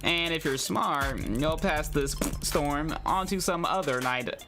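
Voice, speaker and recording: A man's voice: a little high, takes it slowly, over music. A man voicing a brusque, condescending police officer in a detective game, with a casual, sardonic delivery. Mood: sardonic warning.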